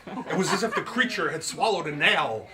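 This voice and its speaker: A man reading comic fiction aloud as its author, with theatrical, expressive storytelling. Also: fairly high pitch, normal speed, a crowd in the background. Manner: theatrical storytelling, literary phrasing. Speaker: author reading his novel.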